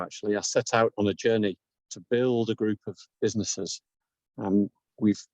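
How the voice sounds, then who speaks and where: A man in his fifties with a northern English accent, introducing himself on a video call in a measured, gently self-deprecating way. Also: fairly low pitch, no echo. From northern England. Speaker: business owner, guest.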